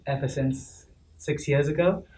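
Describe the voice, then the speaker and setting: A man's voice: on the high side, steady tone, a little slow, a little mellow. Upbeat young man in an echoey room. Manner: upbeat, friendly, with fillers. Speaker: host. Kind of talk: casual panel chat. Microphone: distant mic. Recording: echoey room, mic far off, faint noise.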